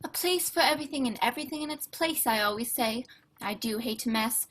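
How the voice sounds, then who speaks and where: A fussy, tidy Victorian doctor narrates and scolds in a radio play. The voice sits high and bright, with a prim, exasperated edge. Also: female voice, a little fast. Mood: genial storytelling. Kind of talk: amateur radio play acting.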